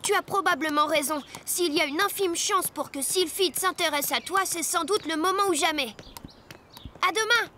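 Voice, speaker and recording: A woman voicing a brainy, earnest schoolchild in an animated cartoon, speaking in a precocious, matter-of-fact way. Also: very high-pitched, moderate pace, over music.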